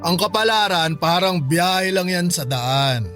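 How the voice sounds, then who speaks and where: A man's voice: high-pitched, expressive, a little slow, a bit bright, faint music behind. A man playing a tipsy, lovelorn middle-aged uncle with a Filipino accent, reflective and emphatic, in a radio drama. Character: tipsy, lovelorn uncle abandoned by his partner. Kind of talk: Tagalog radio drama acting.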